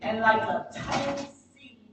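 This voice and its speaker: A woman preaching a sermon with emphatic, dramatic oratory and deliberate pauses. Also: fairly high pitch, a crowd in the background. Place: church sanctuary during a live service. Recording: very echoey, distant mic, noisy church livestream.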